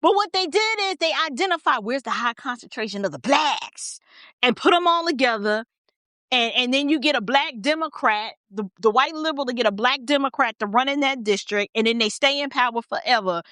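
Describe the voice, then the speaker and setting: A woman's voice: high-pitched, expressive, a little fast, sharp tone, no echo, clean studio sound. An American woman giving opinionated off-the-cuff political commentary, blunt and emphatic, repeating phrases for stress.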